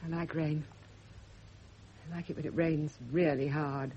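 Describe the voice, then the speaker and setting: Female obsessed, bitter radio-play wife, unhurried and brooding, muffled track with light noise. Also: deep voice, steady tone, mellow. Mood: brooding, hateful. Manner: slow, brooding, fixated, repeating phrases. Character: bitter, jealous, vengeful woman, obsessed and brittle. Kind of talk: classic radio drama acting. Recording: dull, slightly noisy old radio recording.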